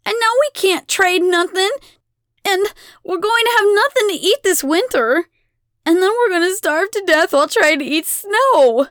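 A woman voicing a defiant, outraged young peasant girl in an audiobook, shrill and blunt. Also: expressive, normal speed, a bit bright, no room sound, clean studio sound. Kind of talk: audiobook character voice.

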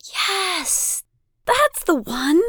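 Lazy, commanding goddess, sugary mockery, voice pitched up, dry close sound, read by a woman. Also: big pitch swings, drawn-out words, fairly bright tone, dry recording, heavily acted. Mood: imperious. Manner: drawling, mockingly sweet. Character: languid, imperious woman goddess, teasing.

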